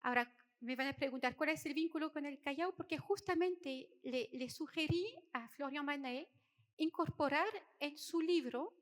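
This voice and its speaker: A woman's voice: high-pitched, normal speed. A middle-aged woman from France with a French accent, giving a conference talk in a hesitant way, with many fillers and restarts. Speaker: conference speaker. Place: conference hall. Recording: hall reverb.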